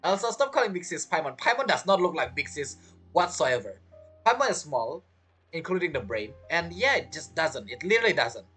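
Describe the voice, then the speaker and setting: A woman's voice: deep voice, very animated, fairly quick, fairly bright tone, husky, soft background music. A woman streamer giving casual game commentary, chatty and reacting aloud in short fragments. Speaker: gaming streamer.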